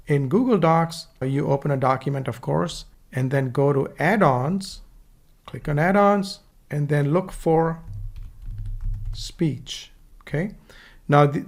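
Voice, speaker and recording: A man with an Indian accent walks viewers through a software tutorial in a relaxed, instructive way. His voice sits a little high and has a gravelly edge. He speaks close to a USB desktop mic. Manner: casual, instructive, dictates punctuation aloud. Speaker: online course instructor. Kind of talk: screen-recorded tutorial. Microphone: USB desktop microphone.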